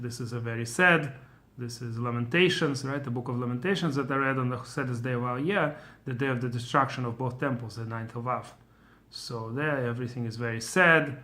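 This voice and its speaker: A man's voice: medium pitch, vocal fry, sharp tone. A middle-aged man with a Russian accent, a Torah teacher giving an informal lesson, thinking aloud with many fillers and restarts.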